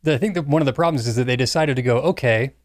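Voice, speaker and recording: A man's voice: mid-range voice, rapid-fire, dry recording, studio quality. A man making his points in a casual discussion about films.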